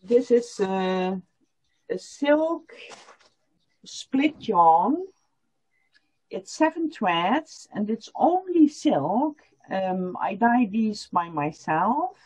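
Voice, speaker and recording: Patient woman demonstrating a craft on a video call; slow, expressive delivery, dull audio. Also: medium pitch, slightly rough. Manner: explains step by step, repeating lines in German. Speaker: felting teacher. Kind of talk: online workshop demonstration.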